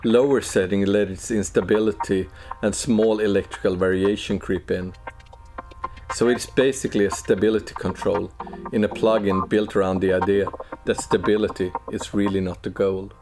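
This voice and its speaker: Low-voiced man narrating a tutorial over music. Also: fairly even intonation, normal speed. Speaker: plugin developer presenting walkthrough.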